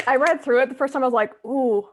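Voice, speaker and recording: A woman's voice: sits high, quick pace, a loud crowd. A woman taking part in a table read, talking casually with the group.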